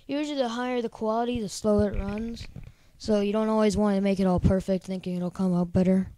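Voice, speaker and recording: Chatty male tutorial host, squeaky high voice with a soft, mellow edge, dry close sound. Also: fairly even intonation, fast, no room sound. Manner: rambling, chatty, lots of filler words. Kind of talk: casual screen-recording tutorial.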